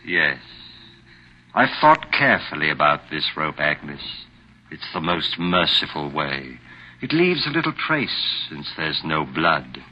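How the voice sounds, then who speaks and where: An actor playing a tormented man who confesses to murder, in slow, deliberate, melodramatic 1940s radio horror style. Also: very deep voice, sing-song, exaggerated voice. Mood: cold, resolved. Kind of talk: 1940s radio horror acting, first-person narration. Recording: muffled vintage 1940s radio recording.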